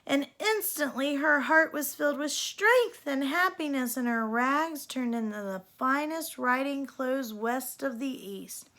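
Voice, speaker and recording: A woman reading a children's storybook aloud in an expressive storytelling style. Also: high-pitched, a little slow, hardly any pauses. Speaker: storybook reader.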